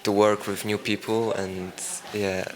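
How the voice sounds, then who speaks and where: A man's voice: fairly low pitch, flat delivery, unhurried, poor audio. A young man with a Polish accent giving brief, hesitant answers in a casual interview. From Poland. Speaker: competition finalist being interviewed. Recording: interview amid crowd noise. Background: crowd chatter.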